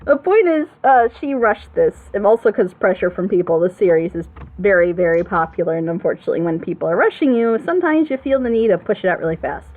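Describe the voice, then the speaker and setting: A woman hosting a group reaction show, chatting casually and giving rambling, encouraging advice in an animated way over an online voice call. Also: medium pitch, quick pace, few pauses, phone-quality sound. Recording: thin, band-limited voice chat audio.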